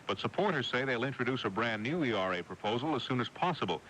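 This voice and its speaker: A man's voice: mid-range voice, lively intonation, quick pace, fairly bright tone. An American man anchoring a radio newscast, reading scripted news stories. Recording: noisy 1980s AM radio broadcast.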